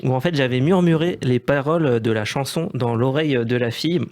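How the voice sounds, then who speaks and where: A man's voice: mid-range voice, brisk, darkish tone. A man presenting a scripted comic radio segment, telling it in a hesitant, self-deprecating way. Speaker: radio comedy columnist.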